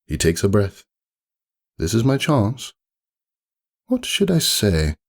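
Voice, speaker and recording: A man's voice: on the low side, wildly swooping pitch, takes it slowly, exaggerated voice. A man playing a haughty, melancholy aristocratic unicorn who narrates his own story in a wordy, theatrical, ruminative storybook manner. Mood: pensive, regretful. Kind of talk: dramatic storybook reading, first-person monologue.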